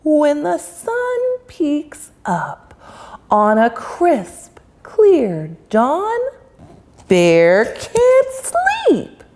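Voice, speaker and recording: A woman reading a rhyming children's picture book aloud in a sing-song, drawn-out way. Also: sits high, huge pitch swings, darkish tone. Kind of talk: expressive read-aloud storytelling.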